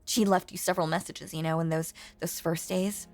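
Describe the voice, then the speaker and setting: A woman playing a lonely, possessive female ghost in an intimate audio drama monologue, hushed and coaxing, with genteel old-fashioned phrasing and a breathy voice that often sinks toward a whisper. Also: low-pitched, fairly even intonation, fairly quick, faint music behind, close to an everyday voice. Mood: quietly resentful, possessive.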